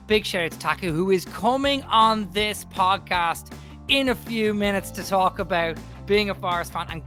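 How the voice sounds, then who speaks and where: Male podcast co-host, high and bright, lively jokey ribbing, online call. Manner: animated, mock-indignant teasing. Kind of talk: casual chat.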